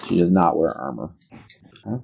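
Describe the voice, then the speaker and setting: A man's voice: low voice, animated, normal speed, creaky, husky. A man casually narrating a tabletop roleplaying game as the game master. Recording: dull lo-fi sound.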